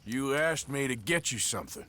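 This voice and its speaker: An actor playing a world-weary, sardonic outlaw cowboy in a video game, terse and dry with a drawl. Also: male voice, mid-range voice, expressive, normal speed, sharp tone. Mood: scornful. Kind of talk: video game cutscene acting.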